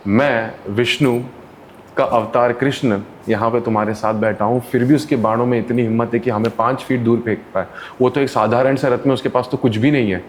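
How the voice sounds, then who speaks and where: A man's voice: medium pitch, fairly quick. A man, an interview guest who works as a counsellor, telling stories in casual conversation and acting out the people in them. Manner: animated, acts out quoted people with voices. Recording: faint background noise.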